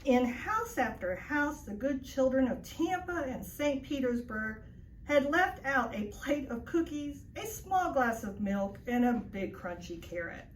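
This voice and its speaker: A woman's voice: high-pitched, animated, a little slow. A woman reading a children's story aloud in a narrating style. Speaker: storybook reader. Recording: echoey, distant mic, faint noise.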